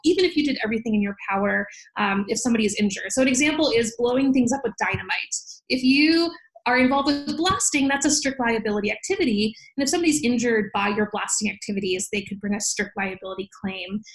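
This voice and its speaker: Higher-voiced American woman explaining things. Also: fast, poor audio. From United States. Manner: articulate, organized, explains in plain examples. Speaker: law professor, podcast guest. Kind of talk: explanatory interview answers.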